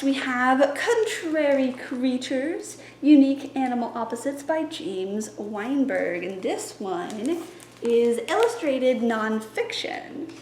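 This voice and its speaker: Young woman, high voice, upbeat, teacherly, chatty, echoey room. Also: a little hiss or hum. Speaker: library science student and story time planner. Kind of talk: casual teaching vlog.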